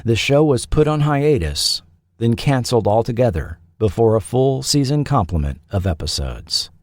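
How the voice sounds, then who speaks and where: Animated man narrating a documentary, close and dry. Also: mid-range voice, normal speed, no echo. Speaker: podcast host. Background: faint background music.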